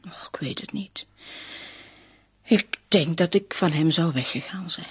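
Distraught widow, radio play. Slow halting speech, heavily breathy and whispery, full of disbelief. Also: female voice, fairly low pitch. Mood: pained, earnest. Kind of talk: radio drama acting, emotional dialogue.

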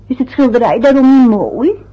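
A woman's voice: sits high, animated, moderate pace, lo-fi sound. An actress playing an anxious, fussy middle-aged mother in an old radio play, chatty and eager to please. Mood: fretful.

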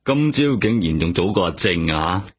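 An actor with a Hong Kong Cantonese accent playing a self-assured, offhand veteran police detective in a radio drama. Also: male voice, low-pitched, animated, normal speed, slightly rough. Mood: offhand, cagey. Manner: offhand, streetwise.

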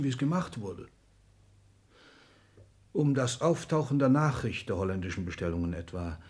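An actor in a 1960s German radio drama playing a proud, combative seventy-year-old patriarch, theatrical and commanding, moving between muttering and thundering. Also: male voice, mid-range voice, a little mellow, lightly acted.

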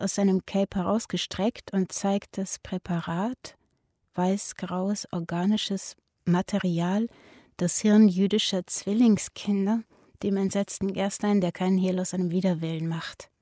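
A woman narrating from a script of stage directions. Her voice is mellow and medium in pitch, recorded very dry. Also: dry recording.

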